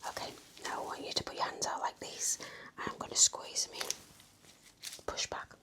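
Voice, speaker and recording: A woman whispering in a gentle, soothing, encouraging way as she role-plays an attentive nurse for ASMR. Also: moderate pace. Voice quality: breathy whisper. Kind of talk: whispered ASMR medical exam roleplay.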